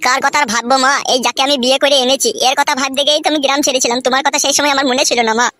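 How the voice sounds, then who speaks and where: Young cartoon man, cheeky, exasperated, very fast, sharp tone, music behind. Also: sits high, little pitch movement, no room sound. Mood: earnest. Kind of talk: Bengali comedy cartoon voice acting.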